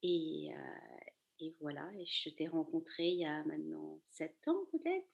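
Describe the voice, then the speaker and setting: A woman's voice: sits low, very animated, a little slow, gravelly, narrow, tinny audio. A woman, answering casually as an interview guest in a loose, meandering way. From Paris, France. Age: 30s. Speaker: podcast guest, fashion accessories designer.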